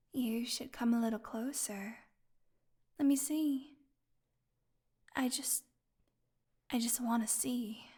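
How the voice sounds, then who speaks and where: Slow, high-voiced, sardonic vampire woman played by an actress. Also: a little mellow, full character voice. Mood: smug, flirty, then hungry, pleading, strained. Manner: sarcastic, teasing, drifting into breathy whispers. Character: captive starved vampire woman, flirty, sardonic, taunting her hunter. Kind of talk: audio roleplay acting, intimate one-sided dialogue.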